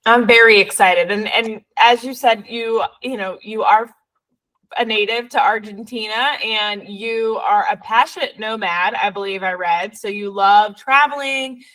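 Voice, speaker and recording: A woman's voice: mid-range voice, measured pace, a bit bright, low-quality recording. A woman with an English accent hosting a podcast, upbeat and chatty as she introduces and questions her guest. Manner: upbeat, chatty, with many fillers and restarts. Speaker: podcast host. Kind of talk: podcast interview.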